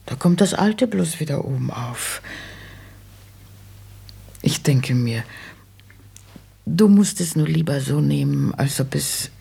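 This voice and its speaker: An actress playing an insistent, plaintive old mother who talks plainly and haltingly, in a German radio play. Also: deep voice, animated, mellow, heavily acted. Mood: probing, insistent. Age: older. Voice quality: husky, creaky. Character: nagging, money-minded old widowed mother, plain-spoken.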